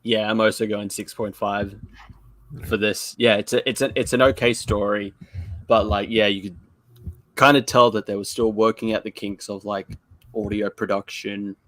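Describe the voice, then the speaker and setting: A man's voice: lowish voice, flat delivery, normal speed, a bit bright, no echo. A man co-hosting a casual review chat, talking in a rambling, informal and repetitive way. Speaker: show co-host.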